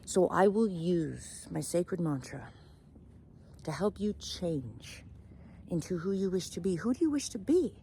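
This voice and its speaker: A woman voicing a kindly monk in a story, gentle, deliberate and reassuring. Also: lowish voice, lively intonation, a little hiss or hum. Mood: compassionate. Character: kindly, compassionate, soothing monk.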